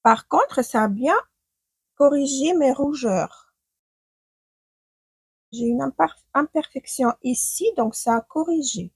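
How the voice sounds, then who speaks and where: Ukrainian-accented woman vlogger, unhurried and a little hesitant, dry close sound. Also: sits high, expressive, darkish tone, dry recording. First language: not French. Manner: chatty, hesitant, thinking aloud while applying makeup. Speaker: beauty vlogger. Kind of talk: makeup tutorial, casual talk to camera. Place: home room with daylight.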